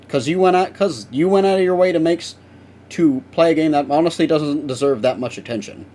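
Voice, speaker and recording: A man's voice: medium pitch, a little mellow, a little hiss or hum. A young man chatting casually with viewers on a live stream while he works, rambling and swearing now and then.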